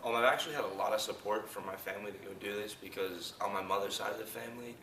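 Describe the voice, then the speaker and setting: A twenty-year-old man from New Jersey, a college student, giving quick, matter-of-fact interview answers. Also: fairly low pitch. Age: 20s. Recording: roomy, distant mic, background noise.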